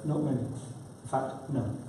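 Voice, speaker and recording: A man giving a conference presentation, his voice croaky from a cold, speaking informally with fillers. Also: mid-range voice, slow pace, noisy background. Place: large conference hall. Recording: echoey hall, distant mic.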